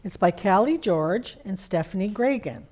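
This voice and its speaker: A woman's voice: medium pitch, expressive, moderate pace, a little mellow, close to an everyday voice. A woman playing Santa's kindly, homely wife as a children's holiday storyteller. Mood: storytelling.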